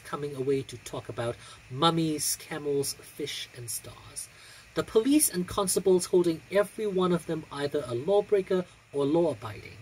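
A man with a somewhat high-set voice that has a husky grain to it. He speaks with theatrical care, placing phrases deliberately. He is recorded on a phone in a small room. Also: darkish tone, low-quality recording. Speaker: drag performer and reader. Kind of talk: casual vlog chat and expressive poetry reading. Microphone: phone on a stand a short distance away.

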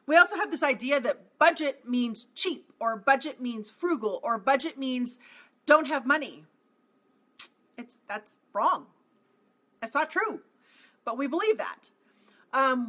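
A woman in her forties, a marketing coach talking straight to camera from a home office, emphatic and repeating her points. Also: sits high. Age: 40s. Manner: emphatic, repeats phrases, animated with hand gestures. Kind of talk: direct-to-camera motivational talk. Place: small home office indoors.

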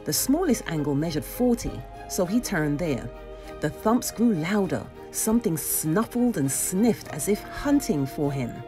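A woman reading a children's storybook aloud with dramatic, animated expression. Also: lowish voice, unhurried, over music. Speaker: storybook reader.

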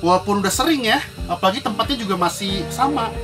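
An upbeat man in his thirties chats to his viewers while setting up camp on an open field. His pitch bounces around animatedly, and loud music plays underneath the whole recording. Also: animated, fast talker. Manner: upbeat, addresses viewers as guys. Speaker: camping vlogger host. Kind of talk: casual vlog chat. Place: outdoors on a lakeside field. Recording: background music.

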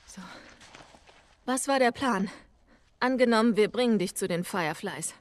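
Woman playing a tough bossy smuggler in a game, terse, medium pitch. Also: moderate pace, natural voice. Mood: determined. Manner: terse, commanding. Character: tough, determined, pragmatic female smuggler. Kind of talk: dramatic video game dubbing.

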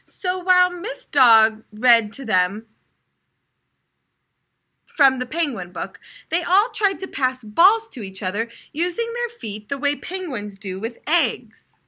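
A young American woman reads aloud like a primary school teacher, explaining as she goes. Her voice is fairly high and bright, with animated, expressive pitch. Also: slow pace. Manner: expressive, explanatory, clearly addressed to children. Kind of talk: read-aloud lesson for young children. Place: classroom, indoors.

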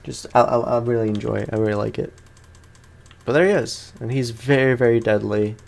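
A man's voice: mid-range voice, steady tone, fairly quick, creaky. Young man doing slangy gaming commentary. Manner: slangy, informal. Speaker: gaming YouTuber. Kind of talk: casual let's play commentary.

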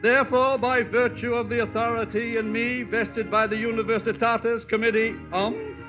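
An actor playing a flustered but kindly older humbug wizard, blustering grandly in 1950s radio drama style. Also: male voice, extremely high pitch, flat delivery, moderate pace, music in the background. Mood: pompous, kindly.

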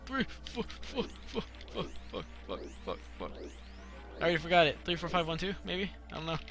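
A young adult man commentating casually on a video game for a live chat, slangy, profane and excitable, often repeating himself. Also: a little high, fairly quick, faint music behind. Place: live game stream with game music behind the voice.

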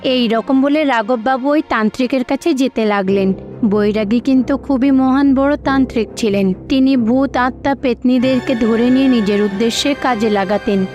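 A woman's voice: fairly high pitch, moderate pace, darkish tone. A woman reads scripted narration for a cartoon fairy tale. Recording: slightly dull audio with music behind.

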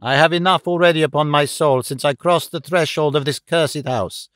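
A man's voice: on the high side, normal speed, fairly bright tone, no room sound, clean studio sound, lightly acted. A man voicing a dignified, disdainful foreign woman in an audiobook story, speaking with earnest, dramatic gravity.

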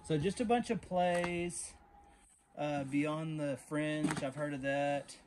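A man's voice: fairly high pitch, steady tone, slow. A man, chatting casually to viewers while sorting through a storage unit. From Texas. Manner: chatty, talks to viewers as y'all. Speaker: storage unit reseller vlogger. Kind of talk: casual vlog narration. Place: outdoor storage unit, then inside a truck. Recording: faint background noise.

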